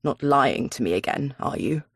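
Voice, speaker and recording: A woman's voice: a bass voice, animated, normal speed, breathy edge, no room sound, studio quality, voice pushed down, heavily acted. A woman voicing a menacing, coolly controlling male villain in a thriller audiobook, taunting and commanding. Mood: suspicious.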